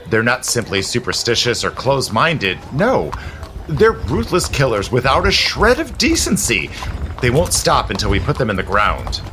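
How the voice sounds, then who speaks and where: An actor playing a suave, zealous immortal gentleman in a period audio drama, with a smooth, persuasive, formal manner. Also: mid-range voice, huge pitch swings, moderate pace, slight background noise. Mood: outraged, fervent. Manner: smooth, persuasive, theatrical, genteel formal phrasing.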